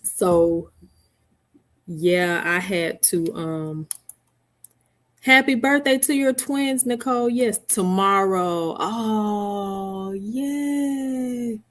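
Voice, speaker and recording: Middle-aged woman, unhurried livestream host on a headset mic, chatty and laughing, greets viewers by name. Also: medium pitch, a bit bright. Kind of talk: casual live-stream chat with viewers.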